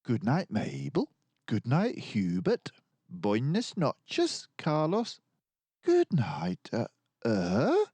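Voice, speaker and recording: Man as a high, lilting lady bear teacher. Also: wildly swooping pitch, drawn-out words, mellow, husky, no room sound, exaggerated voice. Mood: welcoming, puzzled. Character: friendly female bear schoolteacher greeting her cubs.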